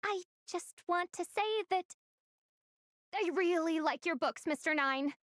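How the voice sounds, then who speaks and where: Very high-voiced earnest girl. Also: measured pace, a bit bright. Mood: shy admiration. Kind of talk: video game voice acting.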